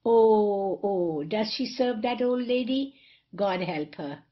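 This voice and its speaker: A woman's voice: mid-range voice, animated, takes it slowly, muffled recording. A woman playing a saucy, sneering tavern wit in a period comedy, teasing and prying theatrically. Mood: mocking. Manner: teasing, inquisitive.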